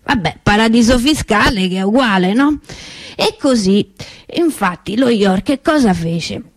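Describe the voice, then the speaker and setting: A woman's voice: a little high, expressive, a little slow, no room sound. A woman playing a plain-spoken, cheated Roman street vendor in a theatre monologue, telling a story colloquially and hesitantly with frequent tag questions. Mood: sardonic.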